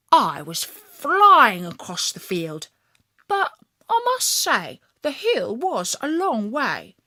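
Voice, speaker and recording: Woman reading a kids fairytale, really lively intonation, takes her time, dry close sound. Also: a little high, no echo. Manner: expressive, deliberate storytelling. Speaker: storyteller. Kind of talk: scripted children's fairytale narration.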